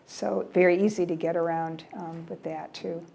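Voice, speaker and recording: Chatty older American woman, mellow voice. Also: on the low side, flat delivery, moderate pace, gravelly. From United States. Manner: chatty, repeats words, trails off with "yeah". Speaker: guest speaker at a library travel talk. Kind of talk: casual presentation with audience questions. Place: library event room.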